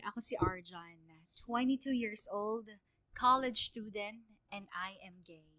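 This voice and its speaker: A Filipino woman working as a radio DJ, narrating a listener's letter as a scripted dramatic story in an earnest, measured way. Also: medium pitch, a bit bright. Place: dry studio, music behind.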